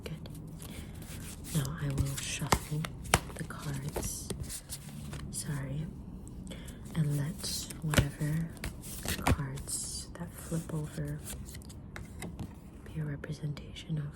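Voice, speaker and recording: Kindly, enigmatic witch tarot reader acted by a woman, low hushed whisper, unhurried, for ASMR roleplay, over noticeable background noise. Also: level tone. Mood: calm, mysterious. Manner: slow, gentle, deliberate whispering with pauses. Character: kind, mysterious witch fortune teller, patient and sincere.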